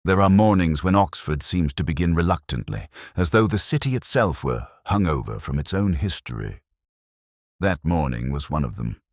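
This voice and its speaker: A man narrating a first-person literary mystery as a scripted audiobook, with a measured, literary delivery. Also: a bass voice, expressive, dry recording, studio quality.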